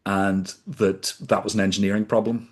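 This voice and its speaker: A man in his fifties from the UK, a podcast guest recounting his career in a measured, deliberate way. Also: fairly low pitch, flat delivery. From United Kingdom. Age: 50s. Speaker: podcast guest, sustainability consultant. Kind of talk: reflective storytelling in conversation.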